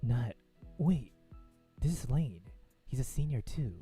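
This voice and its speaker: A husky-voiced man chatting casually and jokingly as co-host of a game read-aloud, using slang. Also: medium pitch, expressive, a little slow, mellow. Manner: slangy, joking, repeats lines. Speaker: let's play co-host voicing game characters. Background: background game music.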